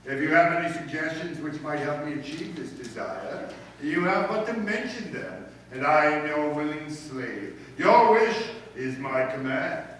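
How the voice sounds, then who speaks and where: A man's voice: fairly high pitch, expressive, unhurried, a bit bright. A man playing a pompous, blustering middle-aged school principal in an old-time radio comedy, speaking formally with hems and haws. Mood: fawning. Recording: very echoey, distant mic, faint noise.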